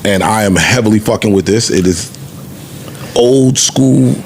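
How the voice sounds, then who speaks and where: Casting: male co-host with a fairly low voice for relaxed-format casual talk, faint noise behind. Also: a little slow. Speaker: podcast co-host. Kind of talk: casual music discussion.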